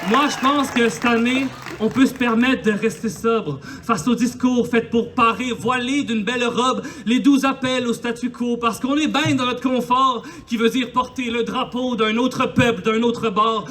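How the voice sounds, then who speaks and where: A man's voice: extremely high pitch, steady tone, a little slow, hardly any pauses, fairly bright tone, some chatter behind, slight background noise. A man with a Quebec French accent reciting impassioned spoken-word poetry in a colloquial, declamatory way at a public celebration. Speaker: poet. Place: outdoors in a park.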